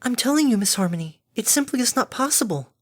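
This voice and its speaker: A timid female cartoon-style pony, acted out by a woman narrator. Her voice sits high, with formal, careful wording. She is prim and anxious, fretting as she speaks. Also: animated, fast. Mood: flustered insistence. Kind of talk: animated audiobook character acting.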